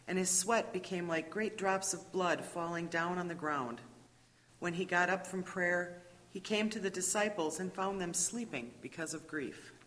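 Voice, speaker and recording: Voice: mid-range voice, fairly bright tone. Scripture narrator in a reverberant hall. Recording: echoey church hall, long reverb.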